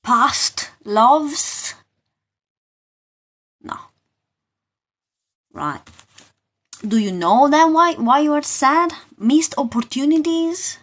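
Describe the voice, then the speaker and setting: Slow-paced woman giving a casual tarot reading. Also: high-pitched, expressive, fairly bright tone. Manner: informal, halting, questioning, repeats phrases. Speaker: tarot reader and spiritual coach hosting a live session. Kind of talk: casual live tarot reading, talking to viewers.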